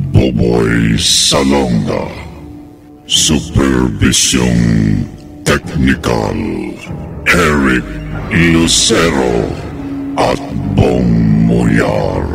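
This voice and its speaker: Extremely deep, gravelly Filipino male announcer. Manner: theatrical, dramatic. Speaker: announcer and narrator. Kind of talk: ominous horror radio drama narration. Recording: radio production with music bed.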